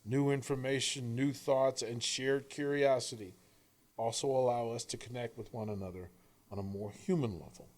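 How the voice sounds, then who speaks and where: A man reading scripted inspirational prose in an earnest, emphatic way, with deliberate phrasing and dramatic pauses. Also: medium pitch, fairly even intonation, a little mellow. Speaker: author reading own work; coach and radio host.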